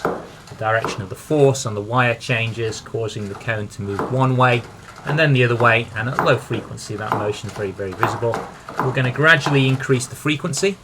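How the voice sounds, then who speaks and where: Guy doing a physics lesson voiceover, teacherly, walks thru it step by step, medium pitch. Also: noisy background. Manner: didactic, explains step by step. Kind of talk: explanatory lesson narration over a demonstration video.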